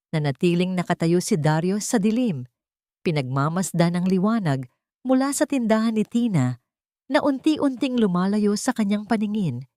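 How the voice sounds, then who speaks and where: A woman narrates a scripted dramatic story. Her voice sits low for a woman. The sound is dry, with hardly any room around it. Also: a little mellow, dry recording.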